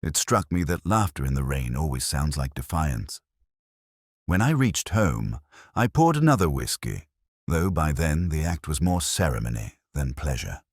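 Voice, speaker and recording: A man voicing a melancholy, literary English detective narrating a mystery in a measured, wry, brooding manner. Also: extremely low pitch, sing-song, no echo, clean studio sound, heavily acted. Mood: wistful, reflective. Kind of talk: scripted first-person mystery narration in radio drama manner.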